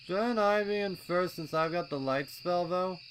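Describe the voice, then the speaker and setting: A man's voice: sits high, unhurried, slight background noise, voice pushed up, low-quality recording. A man voicing a young colt adventurer, an eager and confident little planner, in a story reading. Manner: colloquial, eager explaining.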